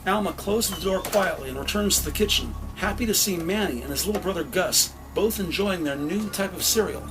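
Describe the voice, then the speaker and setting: A man's voice: high-pitched, brisk, music in the background, poor audio. A man narrating a scripted audio drama with detailed, descriptive storytelling.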